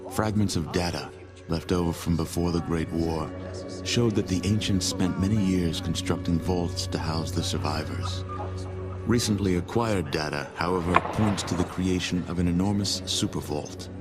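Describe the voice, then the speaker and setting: An actor playing a solemn chronicler of a post-apocalyptic world, narrating game cutscenes in a grave, measured storytelling manner. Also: male voice, sits low, lightly acted. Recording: loud music behind the voice. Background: loud music.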